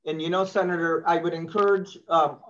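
A man in his sixties moderating a panel from his office over a video call, courteous and a little halting. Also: a little high, fairly even intonation, a bit bright. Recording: slightly dull video call audio.

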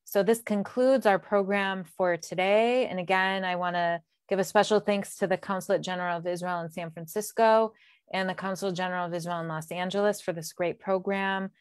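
Clear, smooth-voiced woman hosting. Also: lowish voice, steady tone, fairly quick, no echo, clean studio sound. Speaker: host, executive director. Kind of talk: closing remarks at an online event. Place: indoors on a video call. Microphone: earphone headset mic.

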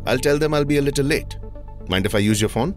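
A man playing a measured, politely curious psychiatrist with formal wording in an audio drama. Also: mid-range voice, soft background music, lightly acted. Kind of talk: scripted audio drama dialogue.

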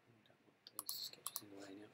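An Australian man explaining a computer design program step by step in a relaxed tutorial, with frequent ums. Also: lowish voice, a little fast, heavy background noise. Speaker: software author demonstrating his add-in. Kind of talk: screen-recorded software tutorial. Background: mouse clicks and keyboard typing.

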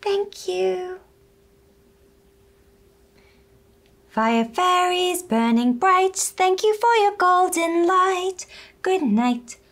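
A woman voicing a gentle, wistful storybook queen in a slow, earnest, singsong way. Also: extremely high pitch, lively intonation, voice pushed up, full character voice. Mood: thankful. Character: gentle, wistful young queen in a children's puppet tale.

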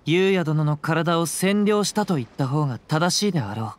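An actor playing a calm, perceptive young wandering samurai in a Japanese video game, with measured, archaic samurai phrasing. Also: male voice, a little high, slight background noise, lightly acted. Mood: grave, perceptive.

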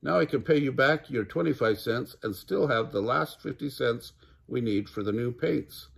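A man voicing an excitable, earnest young boy in a children's storybook, eager and a little anxious. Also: mid-range voice, normal speed, vocal fry, breathy edge, pitched above the performer's own voice. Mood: excited.

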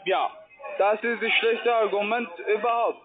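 High-voiced insistent male phone caller. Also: steady tone, moderate pace. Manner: insistent, questioning, non-native phrasing. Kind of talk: argumentative sales phone call. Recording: tinny phone line with noisy office crowd. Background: loud office chatter and music.

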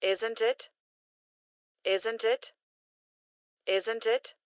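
A woman reading short English phrases slowly and carefully, each one repeated for learners to practice. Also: a little high, steady tone, no echo, studio quality. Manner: careful, clearly enunciated. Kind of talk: slow repeated phrase drills.

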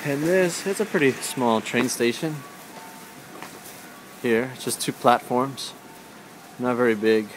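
A young adult man casually narrating a walking vlog in a plain, low-key way, recorded on a handheld camera. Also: slow, long pauses, faint music behind, rough recording. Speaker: vlogger. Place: outdoors in rain and busy train stations. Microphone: handheld camera at arm's length. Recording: loud street and station noise, handheld camera. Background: urban ambience, trains, vehicles.

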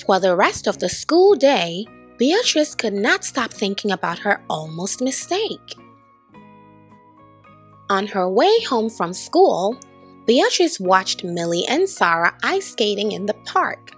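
A woman giving an expressive children's storybook read-aloud, putting on voices for the characters. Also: medium pitch, slow pace, husky, faint music behind. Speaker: storybook reader.

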